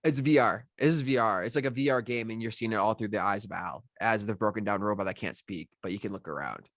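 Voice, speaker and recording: A man speaking as a scriptwriter in a casual online discussion, explaining his work haltingly and repeating words as he goes. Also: on the low side, a bit bright, dry recording, studio quality. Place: online video call.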